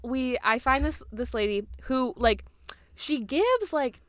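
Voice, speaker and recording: A young woman with an American accent telling a personal story in slangy, animated casual chat. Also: high-pitched, slow pace. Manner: animated, slangy, with quoted asides.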